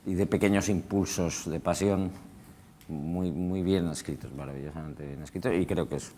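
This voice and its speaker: A man, a writer on a discussion panel, soft-spoken and trailing off at times. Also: low-pitched, fairly even intonation, normal speed, slight background noise. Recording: reverberant hall.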